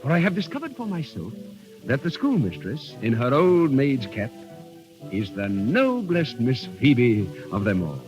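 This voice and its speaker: An earnest, courtly captain, swooping intonation. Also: male voice, mid-range voice, wildly swooping pitch, a little slow, a little mellow, highs cut off. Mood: devoted, tender. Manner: formal, courtly, hesitant phrasing with frequent "ma'am". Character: earnest, gallant army captain confessing his love, courtly and gentle. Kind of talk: vintage radio drama acting. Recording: old radio recording with music behind.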